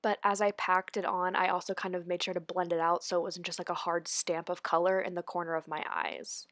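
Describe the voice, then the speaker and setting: A woman's voice: on the low side, little pitch movement, fairly quick, a bit bright, dry recording, studio quality. A young woman with a stuffy, congested voice from a cold, talking casually through a makeup tutorial voice-over, full of "like" and "honestly". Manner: chatty, lots of "like" and "honestly". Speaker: beauty vlogger. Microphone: voice-over recorded separately.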